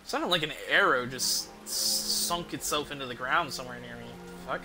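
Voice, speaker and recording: A man's voice: mid-range voice, normal speed, over music, rough recording. A man giving casual, rambling gameplay commentary as a game streamer, with some swearing.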